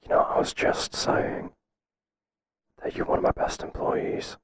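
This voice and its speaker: A man playing a stern but flustered boss in an ASMR roleplay, speaking in a halting, breathy whisper. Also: lightly acted. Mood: stern, exasperated. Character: stern, flustered male boss resisting an employee's advances. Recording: clearly noisy, hissy background.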